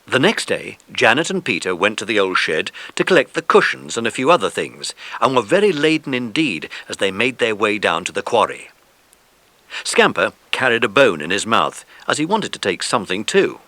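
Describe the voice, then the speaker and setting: A man reading scripted narration for a children's audiobook in an expressive storytelling manner. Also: on the low side, moderate pace, no echo.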